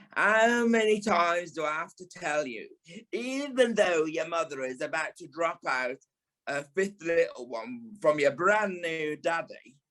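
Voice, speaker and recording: A flamboyant old stage dame with a British accent, acted by a man. The voice is high and unhurried, drawling its endearments. The delivery is theatrical, bossy and bluntly tactless, as in an audio play. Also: a bit bright, poor audio. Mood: bossy, scolding. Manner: theatrical, tactless, drawling, calls people darling and lovey. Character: flamboyant, blunt older former dancer and ballet teacher, a dame.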